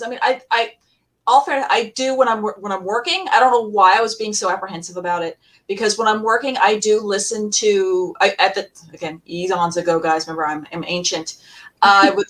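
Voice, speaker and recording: A woman chatting casually as a podcast panelist, telling animated anecdotes in an emphatic, gushing way and acting out remembered dialogue. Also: medium pitch.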